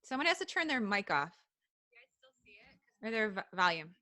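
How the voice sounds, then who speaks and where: Woman, podcast co-host, bright voice, casual chat, quick, laughs often. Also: mid-range voice, very animated. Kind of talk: casual chat setting up a livestream.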